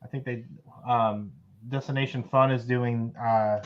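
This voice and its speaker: A man's voice: mid-range voice, steady tone, unhurried. A man co-hosting a casual livestream, enthusiastic and stumbling over his words. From South Texas. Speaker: livestream co-host. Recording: lo-fi stream audio.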